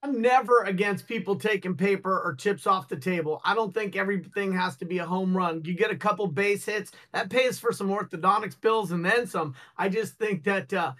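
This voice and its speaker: A man's voice: sits high, normal speed, hardly any pauses, a bit bright. A man speaking as a guest on a cannabis news show, blunt and opinionated, with salty language and folksy analogies. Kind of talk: casual podcast chat.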